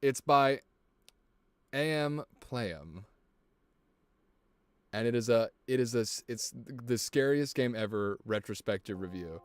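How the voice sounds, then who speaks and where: A man streaming a video game, chatting casually with viewers in an excitable, rambling way and swearing often. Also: mid-range voice, slow, long pauses, no echo. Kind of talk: casual gameplay commentary. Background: music.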